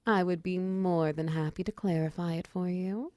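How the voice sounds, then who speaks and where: A woman's voice: on the low side, fairly even intonation, normal speed, dry recording, lightly acted. A woman playing a confident, flirtatious female minotaur wizard who runs a potion shop, speaking to the listener in intimate, teasing roleplay ASMR. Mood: businesslike, friendly. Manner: teasing, coy, softly breathy in places.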